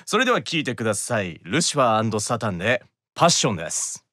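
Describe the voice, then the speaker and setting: A man's voice: on the low side, big pitch swings, quick pace, sharp tone, slight background noise. A man with a Kansai accent appearing as a podcast guest, bantering casually and reading out listener messages. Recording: faint music behind the voice.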